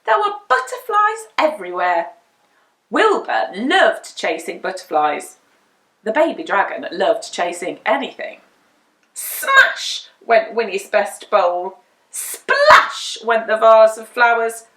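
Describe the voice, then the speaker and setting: A woman reading a children's picture book aloud as a storyteller, with dramatic, deliberate emphasis. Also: a little high, sing-song, sharp tone. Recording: roomy indoor echo.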